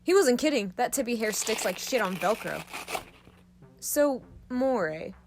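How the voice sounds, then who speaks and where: A woman's voice: a little high, expressive, a little slow, noisy background. A woman playing a blunt, mischievous space crewmate in an audio drama, teasing and flippant.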